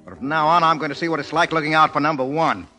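A man's voice: a little high, fast, bright, forceful tone. An actor playing a tough, bitter fired policeman who answers in a clipped, guarded way in a 1930s radio drama. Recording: old 1930s radio broadcast, faint music.

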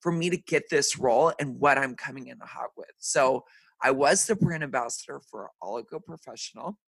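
A man in his thirties hosting a podcast, chatting casually with lots of fillers, his voice deeper and raspier than usual today. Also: normal speed, a bit bright, dry recording, poor audio. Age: 30s. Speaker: podcast host. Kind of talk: casual chat.

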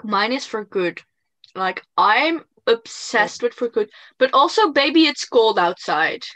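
A woman's voice: on the high side, expressive, measured pace, a bit bright, no echo. A woman chatting casually with a co-host about favorite songs, often giggling. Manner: giggly, breaks into singing lines. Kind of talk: casual fan chat.

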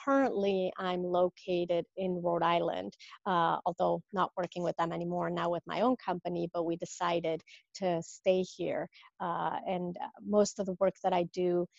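Mexican-born woman coach, fifties, lower register, thoughtful and halting, dry muffled line. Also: little pitch movement, gravelly, darkish tone, no echo. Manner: thoughtful, with many fillers and restarts. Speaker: guest, business coach. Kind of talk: casual interview.

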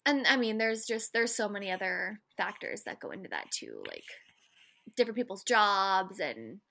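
A young woman from the Midwest with a higher voice, co-hosting a podcast and musing at length in casual chat. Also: very animated, gravelly, bright, forceful tone. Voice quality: higher-pitched voice. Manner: rambling, thoughtful, quick to laugh. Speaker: podcast co-host.

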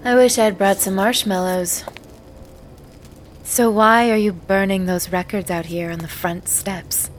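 A woman's voice: mid-range voice, fairly even intonation, measured pace, a little hiss or hum, lightly acted. A woman playing a mysterious, beguiling young woman in an audio horror drama, guarded and resigned in manner. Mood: cool, teasing. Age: young adult.

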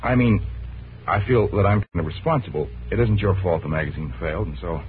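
An actor playing a well-bred, romantic and earnest young gentleman in a 1940s radio drama, with a soft, poetic manner. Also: deep voice, fast talker, close to an everyday voice. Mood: awkward, earnest. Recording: narrow, noisy 1940s radio broadcast.

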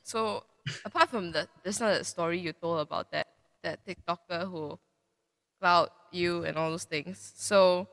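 A man with a high, bright voice works his way hesitantly toward a question for his guest. The recording rings with a long reverb, as if the room were large and echoey. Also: measured pace, gravelly. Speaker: interviewer. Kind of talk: casual interview questions.

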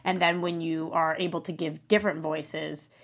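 A woman actress chatting casually about a script she read, lively and quick to act out asides. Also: low voice, creaky. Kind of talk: casual discussion.

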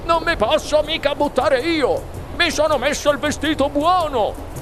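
A woman's voice: sits high, over music, voice pushed up. Woman voicing a flustered, fussy father. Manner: hurried, excited.

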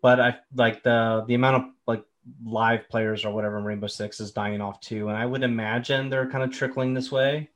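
A man hosting a casual gaming chat, relaxed and full of fillers like "um" and "like". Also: medium pitch, a little fast, few pauses. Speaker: podcast host.

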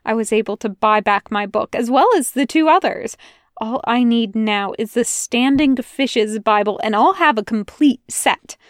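A woman voicing a fussy, excitable male angel bookseller who chatters eagerly and gets flustered. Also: fairly high pitch, moderate pace, dry recording, studio quality, natural voice.

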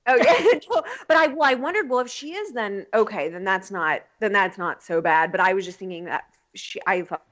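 A woman's voice: mid-range voice, animated, fairly quick, a bit bright, highs cut off. A woman actress chatting as a podcast guest, talkative, laughing and swearing freely. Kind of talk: casual interview chat.

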